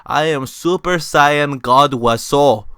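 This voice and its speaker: A man doing a grand, newly risen god who speaks high and slow. The voice is bright and strained, with a drawn-out, pompous delivery. It is recorded dry and close, with no room sound. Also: clean tone, dry recording. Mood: grandiose.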